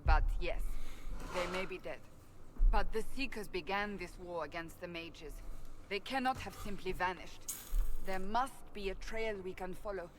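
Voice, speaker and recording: A woman voicing a proud, stubborn warrior in a fantasy game, speaking formally, earnestly and deliberately. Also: lowish voice, background noise, natural voice. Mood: serious, determined. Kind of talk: dramatic video game dialogue.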